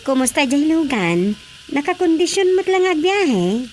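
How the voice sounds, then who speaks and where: A woman's voice: sits high, sing-song, measured pace, over loud music. A woman with a Filipino accent acting a cheerful wife in a radio drama.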